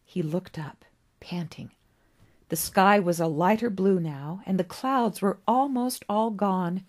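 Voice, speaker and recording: A woman reading literary fiction aloud as an author would, with an expressive, dramatic storybook delivery and deliberate pauses. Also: medium pitch. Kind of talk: expressive scripted story narration.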